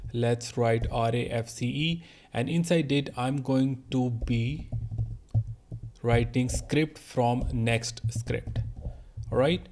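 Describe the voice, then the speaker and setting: A man presenting an unscripted software tutorial, explaining things step by step as he goes. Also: medium pitch, slight background noise. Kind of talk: unscripted screen-recorded tutorial narration.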